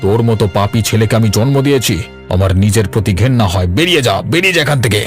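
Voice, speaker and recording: A man voices an embittered old patriarch in a cartoon story. The voice is on the low side and declamatory, proud and quick to take offence, with music running underneath. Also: animated, close to an everyday voice. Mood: furious. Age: older. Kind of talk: Bengali cartoon voice acting.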